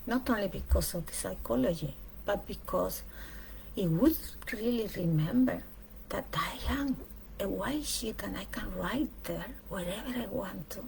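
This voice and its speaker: Female speaker, for a reflective spiritual talk; unhurried delivery in short weighted phrases, gravelly creak in the voice. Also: medium pitch, expressive, a little mellow, a little hiss or hum, poor audio. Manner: deliberate, emphatic phrasing in short pieces with pauses.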